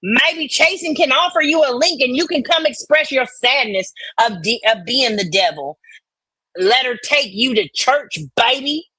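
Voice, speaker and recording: A woman bantering casually and reading online comments out loud in a blunt, sweary, folksy way. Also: high-pitched, lively intonation, a little slow, husky, no room sound, poor audio. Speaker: co-host reading comments aloud.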